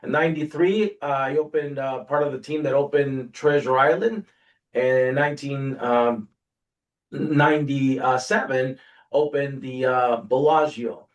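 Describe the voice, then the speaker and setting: A man's voice: mid-range voice, lo-fi sound. Man in his 60s, a bit off-mic. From Peru. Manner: relaxed storytelling with frequent "uh" pauses. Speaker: guest, workforce agency director. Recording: voice slightly distant from mic.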